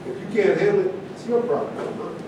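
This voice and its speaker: A man's voice: fairly quick, lo-fi sound, lightly acted. A man acting an opinionated, provocative character in a stage play reading, arguing in a declamatory way. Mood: combative. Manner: argumentative, declamatory. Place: echoey room at a live staged reading. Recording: distant mic, very echoey room, noisy rumble.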